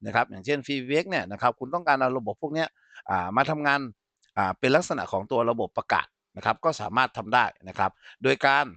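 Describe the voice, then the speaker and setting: Male instructor for an informal tech tutorial, mid-pitched, dry close sound, explanatory and checking in with learners. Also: animated, quick pace, vocal fry, sharp tone, dry recording. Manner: explanatory, frequently checks that listeners follow. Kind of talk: informal software tutorial with live demonstration.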